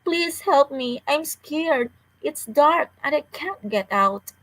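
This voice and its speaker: Woman voicing a high-pitched frightened little girl. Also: lively intonation, takes it slowly, full character voice, low-quality recording. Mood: scared, pleading. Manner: pleading, yelling for help. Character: frightened little girl lost in a dark cave.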